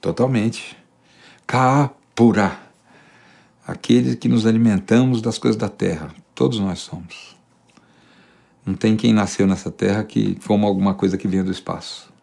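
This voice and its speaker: Gravelly man musing. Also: on the low side, fairly even intonation, normal speed, darkish tone. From interior of São Paulo state, Brazil. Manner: thoughtful, many restarts and repeated words. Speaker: singer-songwriter, interviewee. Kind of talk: reflective conversational monologue.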